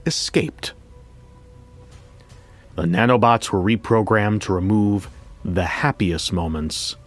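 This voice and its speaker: An actor playing a wry, reflective bank coordinator who tells his story in the first person, in a measured, conversational way. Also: lowish voice, fairly bright tone, slightly rough, full character voice. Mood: ominous, reflective. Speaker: narrator. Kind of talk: first-person scripted audio fiction narration. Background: music.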